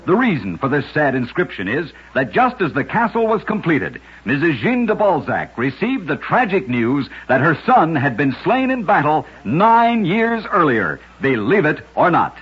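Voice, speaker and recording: A very husky man's voice, slightly high and full of lively movement, tells scripted strange tales in an emphatic 1940s radio manner. The old recording sounds muffled. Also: moderate pace, hardly any pauses. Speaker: radio storyteller, host.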